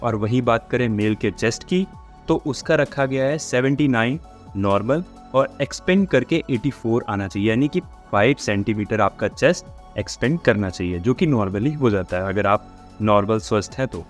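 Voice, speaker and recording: A man presents an informal, explanatory update in a mid-pitched voice. He drops English words into his speech as he addresses his audience of candidates. Music plays behind him. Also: animated, normal speed. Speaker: host of a job updates channel.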